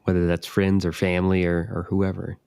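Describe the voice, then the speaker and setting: A man's voice: deep voice, completely flat delivery, fairly quick, creaky, over a noisy crowd. A man co-hosting a casual music podcast, speaking in a laid-back, hesitant way. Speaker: co-host.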